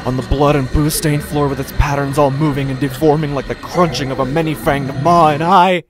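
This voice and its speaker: A man playing a desperate, unraveling gambler, narrating a horror audio drama in the first person with halting, haunted, dramatic delivery. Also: a little high, steady tone, background noise, close to an everyday voice. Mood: rising panic.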